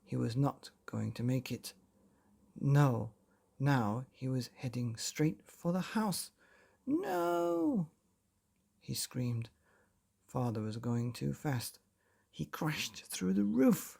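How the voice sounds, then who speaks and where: A man hosting and narrating a children's bedtime story in a dramatic storyteller's delivery with long pauses. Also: medium pitch, vocal fry, a little mellow. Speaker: bedtime story podcast host and reader.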